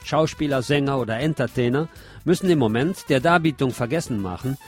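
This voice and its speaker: A man's voice: mid-range voice, fairly quick, soft background music. A man presenting a radio magazine as host, reading scripted narration with personal asides.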